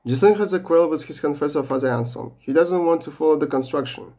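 A man's voice: fairly high pitch, quick pace, dark tone, breathy edge. A young man giving a scripted book summary as a student presenter. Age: young adult. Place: small bedroom.

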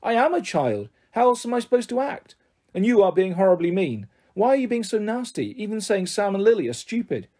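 A woman's voice: mid-range voice, sing-song, fairly quick, dark tone, husky, pitched above the performer's own voice. A woman voicing a stubborn, pleading, emotional young girl in a storybook. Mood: indignant.

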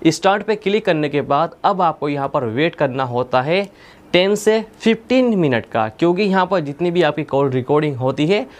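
A man's voice: on the high side, lively intonation, moderate pace. A young man presenting a tech tutorial step by step, in a methodical, instructional manner. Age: young adult. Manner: instructional, repeats points methodically. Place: indoor studio with plain blue backdrop.

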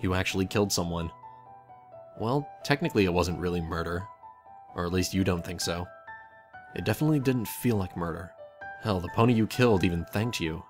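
A man's voice: sits low, a little fast, pauses often, soft background music. A man reading scripted second-person fan fiction as a dramatic storyteller. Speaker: narrator.

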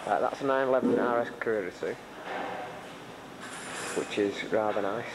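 A man's voice: fairly low pitch, moderate pace. A young man from the United Kingdom giving a casual, chatty commentary while filming a tour of a car workshop on a camcorder. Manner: chatty, pointing things out with dry humour. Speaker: visitor filming a factory tour. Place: large indoor car workshop. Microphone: handheld camcorder mic. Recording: loud workshop noise behind. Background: workshop and engine noise.

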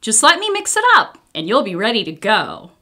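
A woman's voice: medium pitch, wildly swooping pitch, normal speed, pushed and bright, full character voice. An American woman voicing a cheerful, caring pharmacist in a children's storybook, upbeat and instructive. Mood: reassuring.

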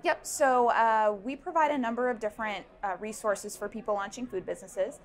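A woman's voice: on the high side, brisk. A young woman, a founder, answering interview questions at an indoor event with a lapel mic, thoughtful and full of ums and restarts. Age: young adult. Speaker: startup founder. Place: busy indoor networking event.

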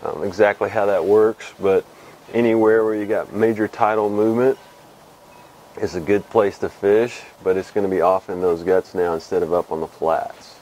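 A man in his fifties from Texas hosting a casual weekly fly fishing report to camera, relaxed and rambling with many pauses, on a lapel mic outdoors. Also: on the low side, a little hiss or hum, poor audio. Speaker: fly fishing show host. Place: outdoors under a shed with corrugated metal wall.

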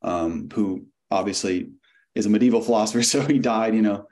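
A man's voice: fairly low pitch, brisk, a little mellow. A young man interviewing a guest over a video call, asking long, rambling questions full of fillers. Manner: rambling, many fillers and hedges.

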